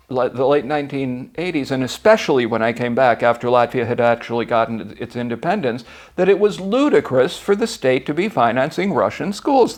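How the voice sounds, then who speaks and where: American guy in his 60s, blunt and emphatic, swears when riled. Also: medium pitch. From United States. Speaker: podcast guest, journalist. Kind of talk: conversational interview.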